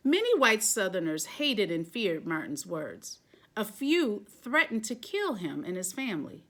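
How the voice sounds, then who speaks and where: A woman reading a children's picture book aloud, phrasing deliberately and stressing key words. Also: medium pitch, big pitch swings, a bit bright.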